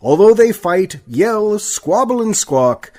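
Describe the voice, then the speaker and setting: Higher-pitched man as old-time radio announcer. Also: sing-song, slow pace, a little mellow. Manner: theatrical announcer delivery. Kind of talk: scripted 1940s-style radio narration.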